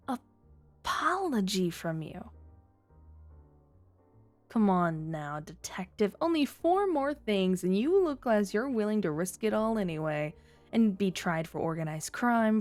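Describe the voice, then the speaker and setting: Scheming female underworld informant for ASMR drama, played by a woman: mid-pitched, coy, teasing, mock-sweet, music underneath. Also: a little mellow. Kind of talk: flirty ASMR roleplay audio drama.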